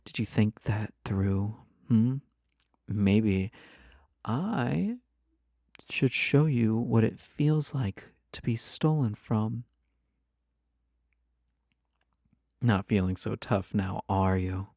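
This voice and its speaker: A flirty yandere lover acted out for an audio roleplay speaks slowly and intimately, right to the listener. The voice is mellow with a creaky edge, coy and possessive, slipping into breathy teasing. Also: medium pitch, expressive, no echo, clean studio sound. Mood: teasing, daring.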